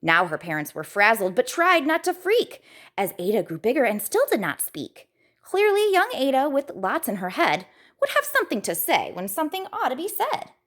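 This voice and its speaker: A woman's voice: high voice, wildly swooping pitch, moderate pace, fairly bright tone. A woman storyteller reading a rhyming picture book aloud to children in a dramatic, emphatic way.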